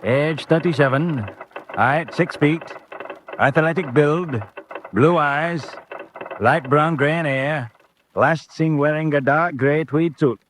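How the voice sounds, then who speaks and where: A man's voice: a little high, slow pace, a bit bright, slight background noise. A man playing an official reading out a police bulletin in a radio drama, in clipped, formal phrases. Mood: official, measured.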